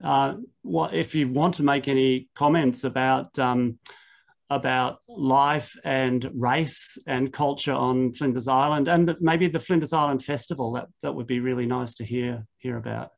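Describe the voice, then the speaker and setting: A man with an Australian accent answering questions on a video call, relaxed, with loose fillers. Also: medium pitch, measured pace, vocal fry. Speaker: presenter.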